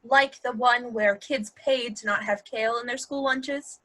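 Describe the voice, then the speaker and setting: A woman's voice: a little high, steady tone, measured pace, pushed and bright. A woman playing a blunt, dry-witted partner who defends her man, delivered pointedly in a play reading. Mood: wry. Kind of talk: virtual table read of a stage comedy-drama.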